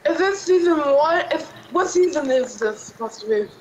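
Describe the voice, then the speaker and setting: A woman in a casual gaming voice chat, excitable and firing off questions. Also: sits high, normal speed, a little hiss or hum, poor audio. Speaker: player in voice chat.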